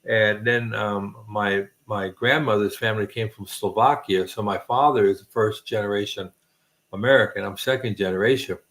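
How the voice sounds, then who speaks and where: A man's voice: on the low side, steady tone, moderate pace, fairly bright tone. A middle-aged man hosting a casual late-night chat, rambling with many restarts and self-deprecating jokes. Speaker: host.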